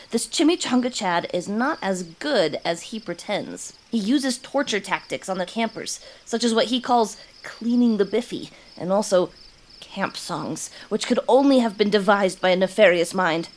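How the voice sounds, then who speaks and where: A woman's voice: mid-range voice, animated, moderate pace, slight background noise, natural voice. A woman voicing an earnest alien disguised as a preteen boy in a children's audio drama, with formal, stilted, literal-minded speech. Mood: solemn reporting.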